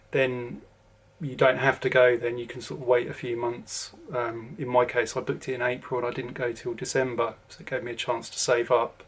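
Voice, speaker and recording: A man in his forties from southern England with a southern English accent, giving a casual, rambling to-camera vlog update with lots of ums and restarts. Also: mid-range voice. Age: 40s. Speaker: vlogger.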